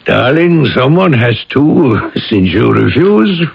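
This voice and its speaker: An actor playing a suave, probing aristocratic count in a 1980s radio drama, speaking in a courtly, deliberate way with hesitant pauses. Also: male voice, medium pitch, big pitch swings, creaky, slightly rough, full character voice. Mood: teasing, affectionate. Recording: dull radio broadcast recording.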